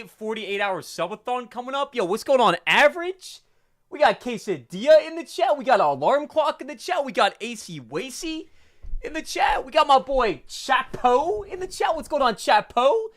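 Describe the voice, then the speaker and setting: A young man with a stuffy, sniffly voice, hosting a casual live stream and greeting viewers in rapid-fire hype. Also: extremely high pitch, very animated, fairly bright tone. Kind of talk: casual livestream chat.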